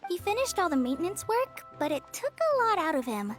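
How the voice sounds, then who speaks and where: A woman voicing a friendly, easily impressed young girl in a video game, expressive and sincere. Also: extremely high pitch, a little fast. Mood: concerned. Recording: background music under the voice.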